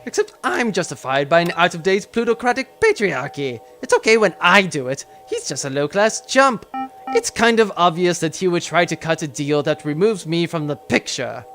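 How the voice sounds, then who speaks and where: A man's voice: on the high side, lively intonation, moderate pace, a bit bright, soft background music. A man playing an arrogant, sarcastic aristocratic villain in a sci-fi audio drama, haughty, dismissive and theatrical. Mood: scornful, smug.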